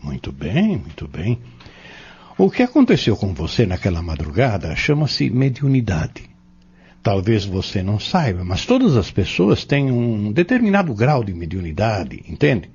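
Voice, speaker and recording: An actor playing an earnest, patient spiritual mentor in an audio drama, courteous and explanatory. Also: male voice, fairly low pitch, huge pitch swings, a little slow, a little mellow, husky, dull audio, heavily acted. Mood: patient, instructive. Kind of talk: audio drama dialogue, didactic.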